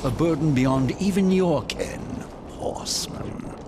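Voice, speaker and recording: An actor playing a haughty, officious undead chancellor in a video game, speaking in a pompous, theatrical and condescending way. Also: male voice, on the high side, slow, background noise. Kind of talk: video game cutscene acting. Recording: loud music under the dialogue.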